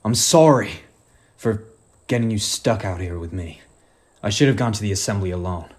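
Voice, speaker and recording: A man's voice: lowish voice, normal speed, darkish tone, close to an everyday voice. A man playing a stubborn, guilt-ridden superhero father in a radio play, earnest and defensive. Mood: weary, contrite.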